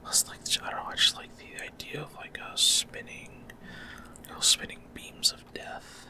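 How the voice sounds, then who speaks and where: A man whispering casual, rambling gameplay commentary for ASMR in a breathy, unvoiced whisper, often hesitant as he searches for words. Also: moderate pace, sharp tone. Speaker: gaming ASMR creator.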